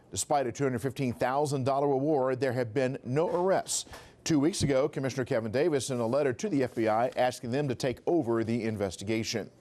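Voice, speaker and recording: A man giving a scripted TV news update in a measured, formal broadcast manner. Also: medium pitch, darkish tone. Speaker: TV newsroom reporter.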